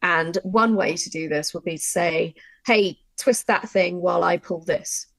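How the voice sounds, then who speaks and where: A woman's voice: fairly low pitch, a little slow, gravelly, fairly bright tone, dry recording. A woman teaching a workshop in a conversational way, emphatic and given to repeating points and acting out examples. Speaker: writer and workshop co-presenter.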